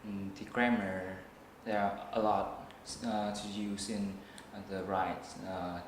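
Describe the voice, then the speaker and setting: A young man with a Vietnamese accent, a student taking a practice speaking test, answering slowly with many hesitations and restarts. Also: lowish voice, little pitch movement. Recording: echoey room, faint noise.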